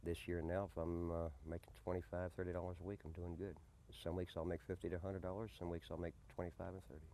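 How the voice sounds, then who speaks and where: Deep-voiced mellow man, noisy recording. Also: level tone. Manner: deliberate, repeats questions back before answering. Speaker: interviewee, desert camp resident and odd-job handyman. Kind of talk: documentary interview, conversational. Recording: noisy early-90s amateur documentary tape.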